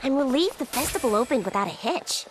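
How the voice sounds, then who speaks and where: A woman's voice: high voice, very animated, quick pace, a little hiss or hum. A woman voicing a frank, slightly cynical teenage girl in an English anime game, with a casual girlish manner. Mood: relieved, candid.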